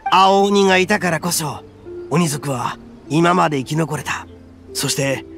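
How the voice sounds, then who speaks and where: Brief: a brash oni gang boss for a game, high for a man, gravelly, swaggering; soft game music behind. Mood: earnest. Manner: swaggering, rough-spoken, self-proud. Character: boastful brash young male oni gang leader. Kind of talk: game cutscene voice acting.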